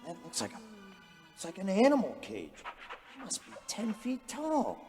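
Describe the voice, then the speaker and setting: A man's voice: sits high, wildly swooping pitch, moderate pace, darkish tone. An actor playing a tense young man exploring ruins in a radio horror drama. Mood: awed, uneasy. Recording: long reverb, music underneath.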